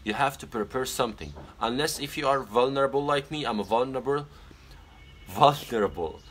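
A young man whose first language is Arabic, chatting casually on a phone live stream from his bedroom. He reads out viewer comments and gives earnest advice, pausing often on fillers. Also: medium pitch, a bit bright, slight background noise. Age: young adult. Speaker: pageant titleholder on a live stream. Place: small bedroom indoors. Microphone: phone camera at arm's length.

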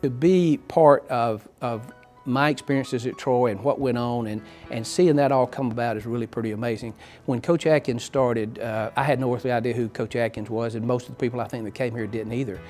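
An older man in a dark studio, reminiscing about his playing days in a folksy, halting way with many restarts. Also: medium pitch, fairly even intonation, soft background music. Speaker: hall of fame inductee. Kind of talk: sit-down interview reminiscing.

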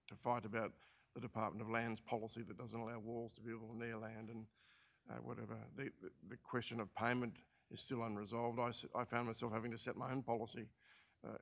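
An older Australian man chairing a council meeting as administrator, speaking in a halting way with many fillers and restarts, into a desk mic in a large council chamber. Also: mid-range voice, vocal fry, a bit bright. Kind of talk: formal meeting remarks.

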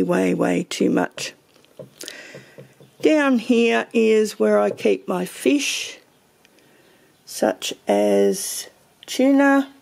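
Woman vlogger, slow and gravelly, mellow tone; casual home pantry tour, filmed handheld. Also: medium pitch. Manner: slow, meandering, thinks aloud with fillers. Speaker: homesteading vlogger.

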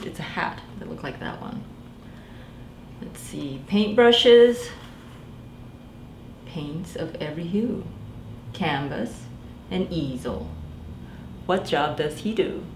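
A woman in her forties, a children's librarian, reading a picture book aloud in a gentle sing-song way and asking the children to guess. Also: lowish voice, animated, takes it slowly, pauses often, reverberant, a little hiss or hum. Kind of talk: storytime read-aloud for young children. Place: small library room indoors.

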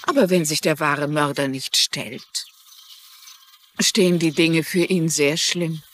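Eine Schauspielerin spielt in einem synchronisierten TV-Drama eine gefasste, wissbegierige ältere Frau, die bedacht und deutlich spricht. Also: female voice, sits low, slow pace. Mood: grave, measured. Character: composed, inquisitive older woman, a former teacher turned sleuth. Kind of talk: dubbed TV drama dialogue in German.

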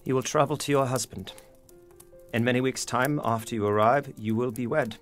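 An actor playing an anguished, guilt-ridden, stern father in a period audio drama, speaking gravely and deliberately. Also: mid-range voice, steady tone, soft background music, natural voice. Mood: resigned, firm.

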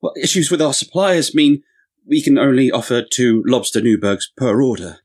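A man's voice: medium pitch, normal speed, a little mellow, close to an everyday voice. A man playing a nervous, naive young waiter in an audiobook drama, awkward, hesitant and over-polite. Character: ingenuous, nervous, lanky young male waiter. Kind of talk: full-cast audiobook acting.

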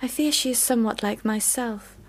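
A woman's voice: a little high, fairly quick, close to an everyday voice. A woman playing a commanding, teasing eldest sister in a period radio drama, speaking in a formal, theatrical way. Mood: firm, secretive.

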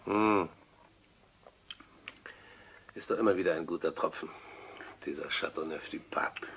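An actor playing a genial, courteous retired gentleman, chatty and wryly amused, in a German radio play. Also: low voice, measured pace, creaky, slight background noise, full character voice. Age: older.

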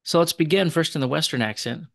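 A man's voice: fairly high pitch, fast, creaky, fairly bright tone, dry recording, studio quality. A man teaching a recorded language lesson, explaining in a chatty way. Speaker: teacher of Aramaic.

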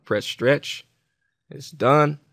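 A man presenting a software tutorial in an informal, conversational way, explaining things step by step. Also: on the high side, drawn-out words, gravelly. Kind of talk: casual software tutorial walkthrough.

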